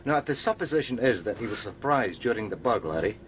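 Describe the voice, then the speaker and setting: An actor playing a methodical male police detective, measured and respectful to his superior, in a radio crime drama. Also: fairly low pitch, expressive, slight background noise, close to an everyday voice. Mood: analytical.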